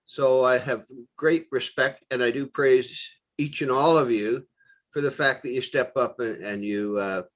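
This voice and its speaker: A man's voice: medium pitch, unhurried, low-quality recording. A man committee member speaking earnestly and thoughtfully on a video call. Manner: earnest, reflective, complimentary. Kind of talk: casual meeting chat.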